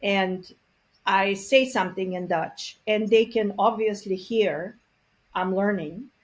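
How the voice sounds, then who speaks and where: A woman speaks as an interview guest at an ordinary mid pitch. Her manner is earnest and reflective, full of small pauses and fillers as she works through her thoughts. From Bulgaria. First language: Bulgarian. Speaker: guest expert on multilingual parenting. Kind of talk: conversational interview.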